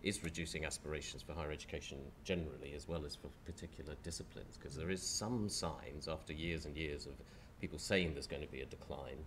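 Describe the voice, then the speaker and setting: Deep-voiced croaky man chairing. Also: fairly bright tone, slight background noise. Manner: hesitant, restarts, measured. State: croaky with a cold. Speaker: panel chair. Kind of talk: panel discussion. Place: lecture hall with audience.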